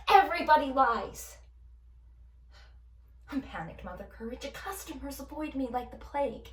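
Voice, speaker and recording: A woman performing a monologue as a bitter, coarse, worn-out camp follower who talks crudely and sardonically. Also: fairly high pitch. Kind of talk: audition monologue. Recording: echoey room, distant mic.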